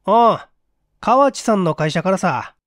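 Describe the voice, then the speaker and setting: A man voicing a young, flustered and gossipy apartment clerk in a story reading, with an excitable manner. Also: high voice, measured pace, dry recording, studio quality, pitched above the performer's own voice. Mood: amused. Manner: excitable, stammering when teased.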